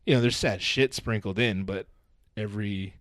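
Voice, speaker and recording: Laid-back man in his thirties, low voice. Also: moderate pace, a bit bright, no room sound, studio quality. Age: 30s. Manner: laid-back, nonchalant, swears freely. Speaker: guest. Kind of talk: casual chat.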